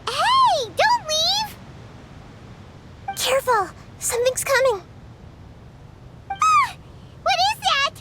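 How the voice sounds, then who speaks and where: A woman voicing an excitable small floating girl companion who talks in chirpy exclamations. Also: very high voice, takes it slowly, long pauses, long reverb tail, heavy background noise, exaggerated voice. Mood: excited.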